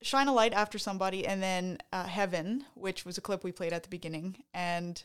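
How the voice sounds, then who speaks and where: A woman from Canada co-hosting a podcast, talking excitedly in casual chat and often interrupting herself. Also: mid-range voice, fairly quick, clean tone. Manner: excited, chatty, self-interrupting. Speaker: podcast co-host.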